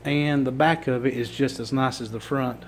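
A man with a Virginia accent, losing his voice and clearing his throat, chatting casually to a phone camera indoors as he shows off thrift finds. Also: medium pitch, steady tone, normal speed, slight background noise. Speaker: thrift reseller vlogger. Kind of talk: casual show-and-tell vlog. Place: indoor room with items on a table. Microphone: phone camera held while filming.

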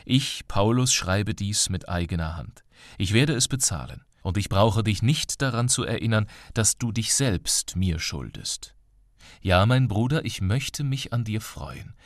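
Low-pitched man reading scripture. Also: animated, measured pace, no echo, clean studio sound. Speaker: audiobook narrator. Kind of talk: scripted audiobook reading of a Bible letter.

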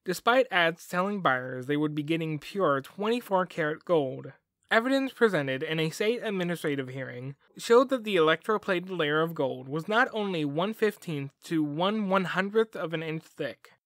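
A man's voice: on the high side, animated, moderate pace, a bit bright, no room sound, clean studio sound. A man hosting a podcast, reading scripted documentary narration in a wry manner. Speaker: podcast host.

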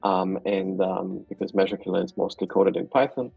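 Deep husky man from Austria on a call. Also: moderate pace, no echo, highs cut off, faint music behind. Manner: relaxed, hesitant, many fillers. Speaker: guest, software developer. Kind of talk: casual video call interview. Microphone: video call.

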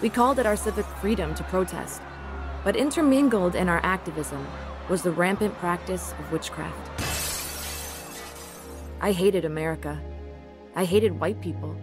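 A woman's voice: fairly low pitch, normal speed, long pauses, natural voice. An actress playing a rebellious, angry young woman in a first-person radio drama, both narrating and acting. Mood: reflective. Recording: music bed behind the voice. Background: music.

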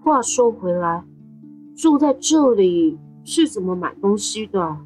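Woman as a gentle, slow-spoken teenage boy. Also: mid-range voice, expressive. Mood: puzzled. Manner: hesitant, earnest, polite. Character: gentle, anxious fourteen-year-old boy, caring younger brother. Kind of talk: audio drama acting. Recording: background music under the voice.

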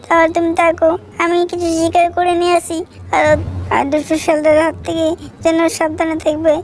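A woman's voice: extremely high pitch, monotone, a little fast. A woman voicing a small, eager bird in a children's cartoon, exclaiming and repeating phrases. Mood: delighted. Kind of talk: children's cartoon story dialogue.

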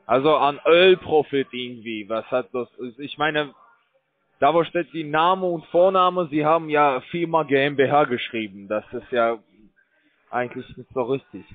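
A man cold-calling as a scam call center agent, pushing a sales pitch in broken grammar with hesitant fillers, heard over a tinny phone line. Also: normal speed.

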